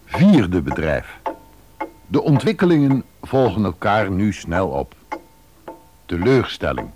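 A man's voice: low-pitched, big pitch swings, slow, a little mellow, faint music behind. A man narrating a radio drama as a scripted announcer. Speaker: narrator announcing acts of the play.

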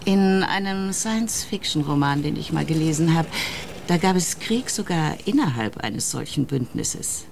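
A polite, probing woman in a radio drama. She has a very low voice and a careful, hesitant conversational manner, over faint background noise. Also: lively intonation, normal speed, vocal fry, a little mellow. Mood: musing, hesitant. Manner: hesitant, courteous. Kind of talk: German radio play acting.

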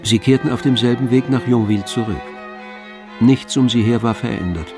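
A man narrating a literary radio play in measured, scripted storytelling. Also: medium pitch, music in the background.

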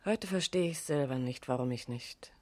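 An actress playing a grieving middle-aged woman in a radio crime drama, halting and bitter with self-reproach. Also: a bass voice. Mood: bitter sorrow. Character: grieving middle-aged woman questioned about her dead lover.

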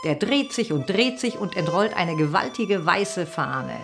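A woman telling a story in an acted radio play monologue, animated and conversational. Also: on the low side, unhurried, vocal fry. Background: music.

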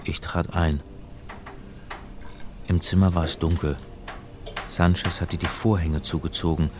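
A man's voice: sits low, flat delivery, unhurried, noisy background. An actor playing a hapless, wry crime hero who narrates his own story in a radio play. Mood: wry narration.

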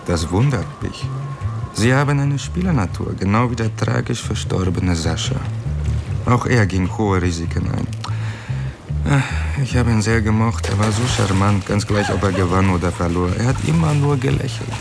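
An actor playing a smooth, mocking underworld gambling boss with theatrical, teasing menace in a German radio drama. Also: male voice, on the low side, lively intonation, moderate pace. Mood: teasing, sardonic. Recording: music behind the voice. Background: music.

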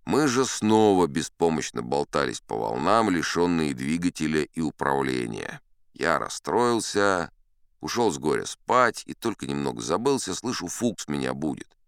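An actor playing an old sea captain who spins tall tales in a dramatised audiobook, boastful and unflappable, with theatrical asides and dramatic pauses. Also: male voice, very deep voice, big pitch swings, clean tone, no echo, clean studio sound, full character voice. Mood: buoyant, boastful.

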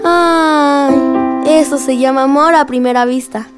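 A woman's voice: high voice, steady tone, measured pace, loud music behind the voice. A woman playing a gushing, romantic village friend in a radio soap opera.

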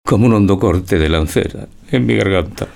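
A man's voice: low voice, normal speed, creaky, a little mellow. A man playing a timid, besotted older newlywed husband in a radio drama, earnest and hesitant. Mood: earnest, adoring. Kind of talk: stage-like radio drama acting.

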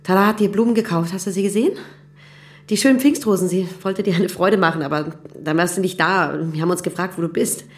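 A woman's voice: fairly low pitch, fast talker, close to an everyday voice. An actress playing a friendly, solicitous woman friend in a radio drama, chatty and effusive.

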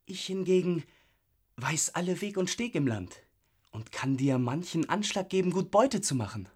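Soldier's servant, slightly high voice, eager, sly, ingratiating, scornful, radio play. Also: male voice, very animated, moderate pace, husky, full character voice.

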